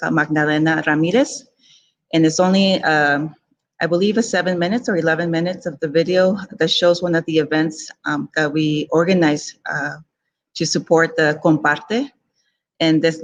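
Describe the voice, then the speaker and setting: A community organizer gives closing remarks at a virtual event, often stopping and repeating words. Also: mid-range voice.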